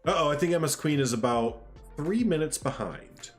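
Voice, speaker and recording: A man's voice: on the high side, lively intonation, moderate pace, soft background music. A man hosting a casual livestream, stuffed up from a sinus cold, chatting in a friendly, rambling way and reading out chat. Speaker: livestream host.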